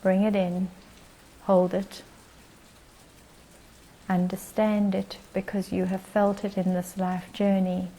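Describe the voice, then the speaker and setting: A woman leading a guided meditation as its guide, speaking in gentle, short phrases with long pauses. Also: mid-range voice, flat delivery, a little hiss or hum, poor audio.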